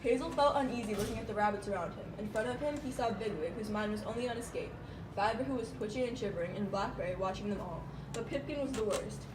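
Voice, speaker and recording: A woman's voice: medium pitch, fast. Woman narrating, distant in an echoey room. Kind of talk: scripted story narration. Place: room, live amateur puppet show. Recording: echoey, noisy room, far from mic.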